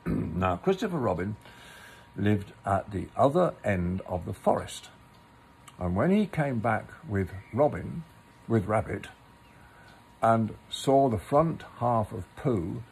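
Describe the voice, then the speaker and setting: Guy reading a kids storybook out loud, slow, lots of gravel in the voice, slight background noise. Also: lowish voice, very animated, poor audio. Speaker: storybook reader. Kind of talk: read-aloud children's story narration with asides to the listener.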